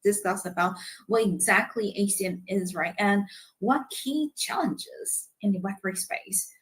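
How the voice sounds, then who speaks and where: A woman's voice: medium pitch, animated, unhurried, fairly bright tone. Upbeat woman hosting a livestream. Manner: upbeat, welcoming, chatty. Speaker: livestream host. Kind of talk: hosting a live audio session.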